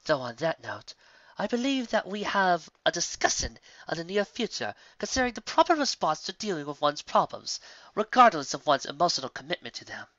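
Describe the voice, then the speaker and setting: A man voicing a stern, regal female pony mentor reading out a letter in formal, measured phrases. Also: fairly high pitch, big pitch swings, sharp tone, dry recording, dull audio, pitched above the performer's own voice. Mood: stern but forgiving.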